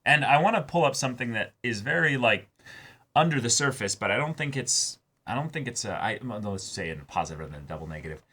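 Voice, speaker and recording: A man's voice: medium pitch, expressive, fast talker, bright, forceful tone, breathy edge. A man co-hosting a reaction show, talking casually and emphatically, working through his points with digressions. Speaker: co-host of an anime reaction show. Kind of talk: casual discussion.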